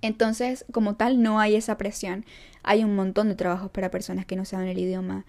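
A woman's voice: medium pitch, fairly quick. A young woman from Venezuela with a Venezuelan accent, chatting animatedly to her viewers in a casual vlog. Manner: animated, talks straight to viewers, keeps asking "¿me entiendes?". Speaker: vlogger. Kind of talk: casual chat to camera.

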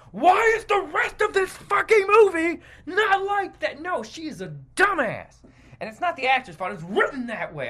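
A man's voice: high-pitched, bright, forceful tone, husky. An American man hosting a podcast, ranting in an exasperated, foul-mouthed, emphatic stream of consciousness and often repeating himself. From United States. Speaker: podcast host. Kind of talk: stream-of-consciousness comedic rant.

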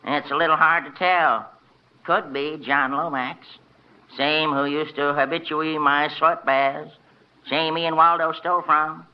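A man's voice: fairly high pitch, fairly even intonation, unhurried, lightly acted. A man playing a quaint, wisecracking bathhouse attendant with a folksy drawl in an old radio drama. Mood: wry, rambling. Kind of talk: old-time radio character acting. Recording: muffled vintage radio recording.

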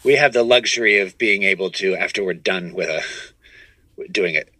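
A man talking casually as a comedian guest on a podcast, animated and often restarting his phrases. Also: low-pitched, normal speed, no echo, poor audio. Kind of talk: casual conversational interview answers.

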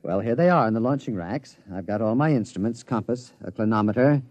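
An actor playing an earnest, resourceful observatory scientist, polite and articulate, in old-time radio drama style. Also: male voice, medium pitch, animated, a little fast, a little mellow, no room sound, highs cut off, natural voice.

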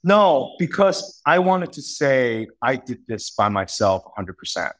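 A man's voice: medium pitch, very animated, measured pace. A man in his thirties from northeast China, talking earnestly and reflectively in a casual interview, often repeating words as he restarts. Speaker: guest, company founder.

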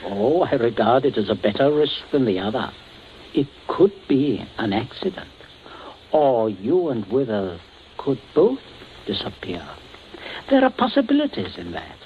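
Cold commanding gentleman, old muffled radio. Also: medium pitch, sing-song. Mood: threatening. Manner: measured, deliberate, formal phrasing. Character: cold, commanding, calculating gentleman blackmailing with menace. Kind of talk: 1940s radio suspense acting. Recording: muffled, noisy 1940s radio recording.

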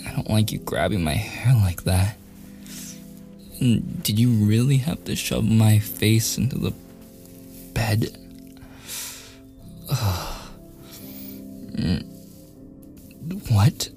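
A cocky young male bully, acted by a man in a boyfriend audio roleplay, with a lowish voice and an unhurried delivery. He is sarcastic and sneering, yet easily flustered. Music plays behind him. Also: mellow. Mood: flustered, defiant. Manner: sarcastic, taunting, hesitant stammering under pressure. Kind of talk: boyfriend ASMR audio roleplay, close and intimate acting.

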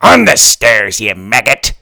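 A gruff, touchy pirate storybook, put on by a man reading aloud. It barks pirate slang and growls threats, louder than the narration, with a lively, swinging pitch. The sound is close and dry. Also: unhurried, dry recording, heavily acted. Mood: gruff, bossy.

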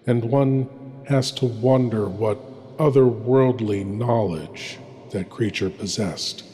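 A man playing a droll, ominous horror host lord, narrating theatrically with deliberate, wry delivery. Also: lowish voice, mellow, slight background noise. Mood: musing, ominous. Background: faint music.

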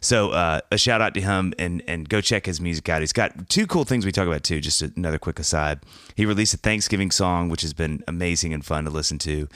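Deep-voiced man with a slight cold. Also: brisk, fairly bright tone, no room sound, clean studio sound. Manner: rambling, jokey, self-mocking asides. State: has a cold, slightly scratchy. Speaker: podcast host. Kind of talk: casual monologue intro.

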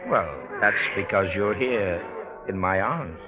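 A man's voice: deep voice, slow pace. An actor playing a young American businessman and scheming suitor in an old-time radio drama, persuasive and self-assured. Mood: ardent, urging. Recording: muffled vintage radio with music.

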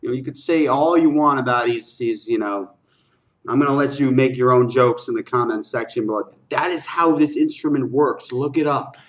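A man hosting a music reaction video, giving casual, excited commentary in slangy, exclamatory bursts and often repeating his phrases. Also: medium pitch, moderate pace. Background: loud music playing.

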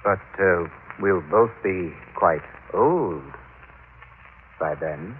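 Deep-voiced, servile scheming butler. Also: male voice, animated, drawn-out words, heavily acted. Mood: insinuating, scheming. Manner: deferential, insinuating. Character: servile, scheming butler, soft-spoken and coldly calculating. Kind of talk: old-time radio drama acting. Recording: tinny, noisy old radio recording.

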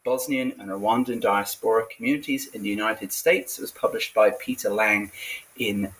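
A man hosting an academic book podcast, introducing his guest in a formal but halting way. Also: fairly low pitch, a bit bright, rough recording. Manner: halting, frequent hesitations. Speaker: podcast host and professor.

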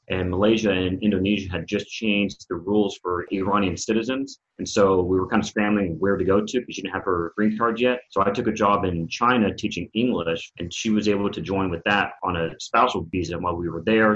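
Thirtysomething guy from arkansas rambling thru his story, deep voice, kinda muffled remote audio. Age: 30s. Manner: rambling, laid-back, with many "kind of" and "like" fillers. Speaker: guest. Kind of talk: casual storytelling interview.

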